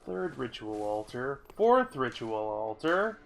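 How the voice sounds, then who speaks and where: A man's voice: medium pitch, big pitch swings, slow pace, gravelly, fairly bright tone, faint music behind. A man live-streaming a video game, stuffy from a cold, chatting casually with viewers in a rambling, grumbly way and swearing now and then. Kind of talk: casual live-stream chat while playing.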